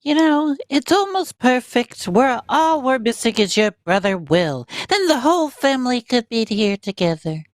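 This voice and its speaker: Lady doing a fussy doting mom in a radio play, highish voice that swoops all over, effusive. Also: big pitch swings, moderate pace, hardly any pauses, dry recording. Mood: cheerful, fussing. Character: doting, fussy, hospitable small-town mother. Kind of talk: cold-read sci-fi radio play.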